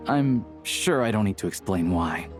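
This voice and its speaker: Man as low-voiced easygoing hunter guide. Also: lively intonation, moderate pace, over loud music, natural voice. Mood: informative, helpful. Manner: casual, helpful, matter-of-fact. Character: easygoing young male small-time hunter acting as town guide. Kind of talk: video game character acting.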